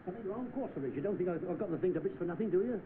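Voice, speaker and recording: A man's voice: high-pitched, animated, very fast, narrow, tinny audio. A knowing, cynical British working man in old film style. He chats bluntly from a garage role, in thin, noisy sound.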